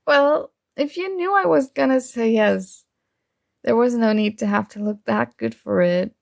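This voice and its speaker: A woman's voice: fairly high pitch, vocal fry, darkish tone, no echo. Woman acting a smitten roommate, close dry voice. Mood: playful teasing. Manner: playful, conversational, halting. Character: young woman roommate, teasing, shy, smitten friend-turned-date. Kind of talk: ASMR roleplay acting.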